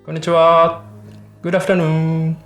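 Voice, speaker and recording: A man acting in a radio drama as a gentle young Japanese soldier who is polite and hesitant. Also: fairly high pitch, monotone, unhurried, faint music behind.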